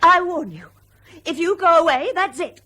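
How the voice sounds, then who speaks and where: A woman's voice: very high voice, normal speed, sharp tone. A woman acting in a British radio drama as a pilot's bitter, sardonic, hard-drinking wife, biting and mocking.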